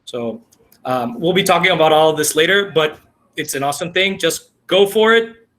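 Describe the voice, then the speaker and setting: Man, bright tense voice, slightly high, emphatic. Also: normal speed. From Colombia. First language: Spanish. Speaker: webinar panelist, technology integrator. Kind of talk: casual video call chat. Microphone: video call.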